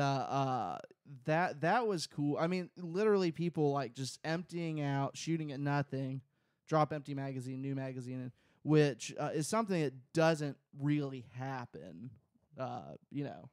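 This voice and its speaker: A man's voice: mid-range voice, normal speed, a little mellow, clean tone, no echo, studio quality. A man in his thirties co-hosting a podcast in casual chat, rambling with crude jokes and lots of fillers. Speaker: podcast co-host. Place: small home room. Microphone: close studio mic on boom, headphones.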